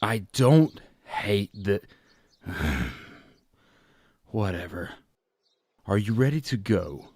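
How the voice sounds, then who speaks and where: An actor playing a dry, villainous accomplice in a fantasy audio drama, with a bored, sardonic drawl. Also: male voice, on the low side, very animated, very slow, pauses often, darkish tone, breathy edge, no echo, full character voice. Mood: irritated.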